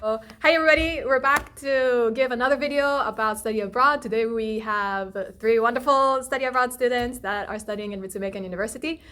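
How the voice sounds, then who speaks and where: A woman's voice: on the high side, brisk, hardly any pauses, pushed and bright. Woman hosting, music behind her. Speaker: host interviewer. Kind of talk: informal video hosting and interview.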